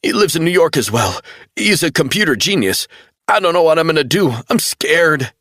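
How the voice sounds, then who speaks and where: A man's voice: big pitch swings, normal speed, fairly bright tone, breathy edge, dry recording, studio quality, heavily acted. A man doing a distraught young man, pleading through tears. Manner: sobbing, pleading, high-strung.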